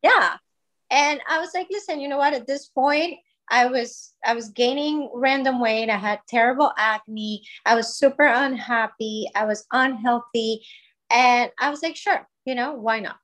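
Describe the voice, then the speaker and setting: A woman in her thirties from Colombia talks as a podcast guest, with a voice pitched a touch high. She speaks in a chatty, grateful way, leaning on fillers as she tells her story. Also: unhurried, a bit bright. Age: 30s. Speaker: guest, wellness brand founder. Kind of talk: podcast interview, personal story.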